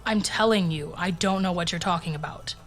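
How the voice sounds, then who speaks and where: A woman's voice: on the low side, a little fast, natural voice. Curt, guarded traveler played by a woman. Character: evasive, stubborn, distrustful gray-skinned traveler.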